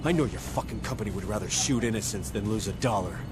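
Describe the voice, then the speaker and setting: An actor playing a hard-bitten military sergeant in a video game cutscene, talking in a gruff, confrontational, commanding way. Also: male voice, on the low side, fast, loud music behind the voice, natural voice. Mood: tough, commanding. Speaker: sergeant in charge. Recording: game audio with ambient noise.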